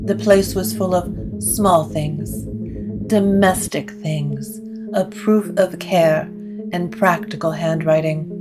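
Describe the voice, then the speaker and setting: A woman narrating a scripted gothic story in a measured, literary way. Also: fairly low pitch, steady tone, over music.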